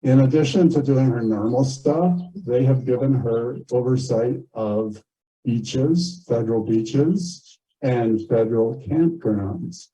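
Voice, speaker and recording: Very dark-voiced man chairing a meeting. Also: mid-range voice, slow pace, low-quality recording. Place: community meeting, captured on a video call.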